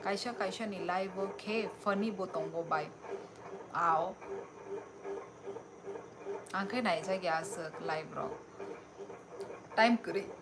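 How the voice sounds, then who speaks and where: Slow-talking young woman streaming live. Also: fairly low pitch. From Tripura, India. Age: young adult. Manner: unhurried, friendly, answers viewers by name. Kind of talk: casual live-stream chat reading viewer comments. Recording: live stream with steady background noise.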